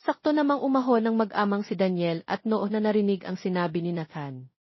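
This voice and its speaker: A woman's voice: medium pitch, animated, a little fast, dry recording, lo-fi sound. A woman with a Filipino accent reading scripted narration of a romance story as an audiobook narrator.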